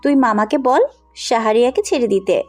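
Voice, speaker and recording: A woman's voice: on the high side, moderate pace, darkish tone, lightly acted. A woman acting a headstrong, compassionate young woman in an audio story, speaking bluntly and insistently. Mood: firm, concerned. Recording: music under the voice.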